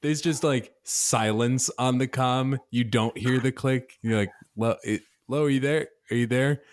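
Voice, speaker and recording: A man's voice: mid-range voice, unhurried. A man chatting and improvising casually as a player in a tabletop roleplaying game.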